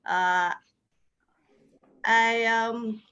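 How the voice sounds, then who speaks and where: Slow, flat-voiced woman on lo-fi audio. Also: fairly high pitch, clean tone. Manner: slow, careful, polite. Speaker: learning group member. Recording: dull lo-fi call audio.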